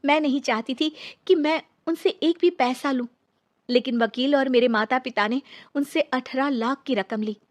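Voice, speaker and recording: A woman's voice: high-pitched, moderate pace, natural voice. A woman playing an earnest, wronged young divorced woman in a dramatised story. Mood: aggrieved.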